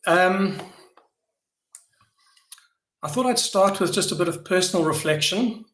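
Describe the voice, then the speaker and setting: A man's voice: sits high, fairly bright tone. Middle-aged South African man, echoey room. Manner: hesitant, earnest, pausing and repeating words. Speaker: company founder addressing a community. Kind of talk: informal unscripted address to investors.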